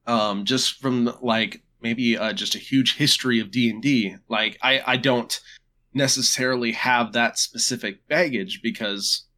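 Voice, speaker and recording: A man hosting a casual podcast chat, stuffed up with a cold, talking in a relaxed, chatty way with frequent restarts. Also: medium pitch, unhurried. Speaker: podcast host.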